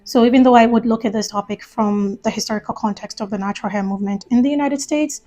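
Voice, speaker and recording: A woman from Ghana who lives in the United States, explaining a topic in a measured, thoughtful video essay. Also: a little high, warm tone. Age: adult, lived years in the US.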